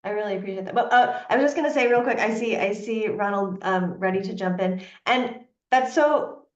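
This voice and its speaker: A woman's voice: medium pitch, fairly quick. A woman moderating a panel, appreciative and inviting as she hands over to speakers. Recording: distant, dull, roomy audio.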